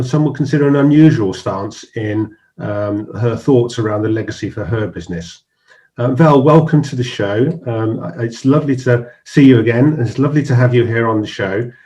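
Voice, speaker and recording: A middle-aged man hosts a business interview. He talks in a friendly, unhurried way, often stopping and restarting with ums and uhs. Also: mid-range voice. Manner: warm, hesitant, many restarts and fillers. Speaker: host.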